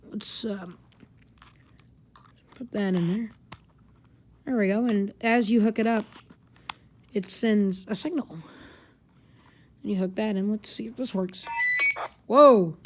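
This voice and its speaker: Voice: medium pitch, slow pace, long pauses, slight background noise. A person giving a casual, rambling hands-on gadget review in an informal way. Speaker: product reviewer. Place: carpeted home living room.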